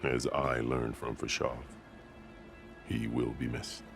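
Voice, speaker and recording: Very deep-voiced, solemn warrior chief. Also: male voice, level tone, vocal fry, fairly bright tone, exaggerated voice. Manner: formal, solemn, measured storytelling. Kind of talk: dramatic video game dialogue. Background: music.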